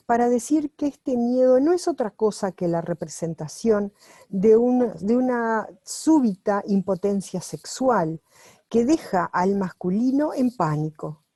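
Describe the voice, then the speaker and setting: A woman reads a book aloud at home, translating it as she goes. She reads carefully, pausing and correcting herself. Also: medium pitch, dark tone, no room sound. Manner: unhurried and careful, with pauses and self-corrections while reading. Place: at home, with her dog nearby.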